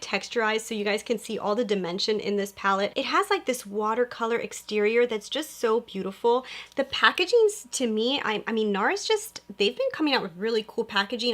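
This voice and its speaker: A young woman with a fairly high voice talks straight to her viewers in a bubbly makeup review. She sounds a bit nasal from a cold she is getting over. Her delivery is chatty and gushing, full of asides to her audience. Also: a bit bright. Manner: chatty and gushing, lots of "you guys". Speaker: beauty vlogger. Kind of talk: upbeat product review vlog.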